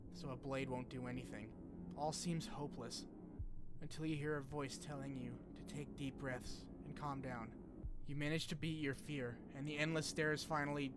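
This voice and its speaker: A man's voice: mid-range voice, background noise. A man hosting a video essay, mixing scripted narration with casual, joking asides and some swearing. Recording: music behind the voice. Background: background music.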